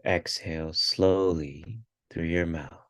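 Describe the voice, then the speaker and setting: A man with a low voice guides a meditation at an extremely slow pace. He speaks calmly in short, measured phrases, leaving pauses between them. The sound is dry and close, without room echo. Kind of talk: guided meditation, spoken instructions.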